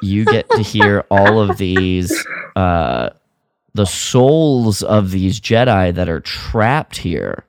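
A man co-hosting a casual podcast discussion, talking in a halting way as he thinks aloud. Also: low-pitched, animated, no echo, studio quality. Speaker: podcast co-host.

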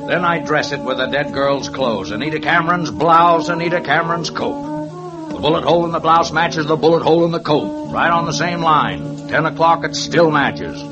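An actor playing a tired, wisecracking police partner who rattles off case facts in a 1950s radio drama. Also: male voice, a little high, normal speed, dull audio, lightly acted. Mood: exasperated. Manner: weary, sardonic, rattles off facts. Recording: radio drama with music bed.

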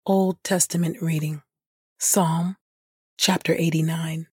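A woman reading scripture as a podcast host, in a measured style with deliberate, reverent phrasing. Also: low-pitched, fairly even intonation, slightly rough, no room sound, studio quality.

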